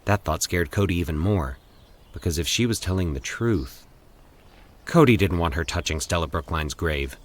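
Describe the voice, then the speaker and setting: A man with a low voice narrates scripted horror fiction. He delivers it as a podcast host and storyteller, reading from prepared text. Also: normal speed.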